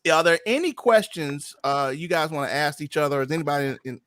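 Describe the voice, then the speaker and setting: A man's voice: fairly high pitch, fast talker, a bit bright. A man casually hosting a panel talk show. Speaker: host.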